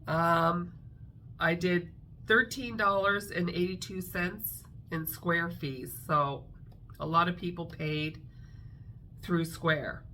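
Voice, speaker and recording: A middle-aged woman talks casually to her viewers, rambling with plenty of fillers. Her voice sits a little low but sounds bright and forward, and it has a stuffy, nasal quality from congestion. A faint hum sits behind her. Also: fairly even intonation, slow. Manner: chatty, rambling with many fillers. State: congested, nasal from a sinus infection. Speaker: craft vlogger. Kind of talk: casual chat to camera.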